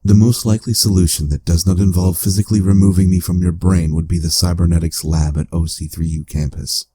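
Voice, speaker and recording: Man voicing a deep, coldly analytical AI. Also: warm tone, no room sound. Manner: formal, measured, clinical. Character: coldly analytical artificial intelligence inhabiting a hacker's head. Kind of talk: cyberpunk audio drama acting.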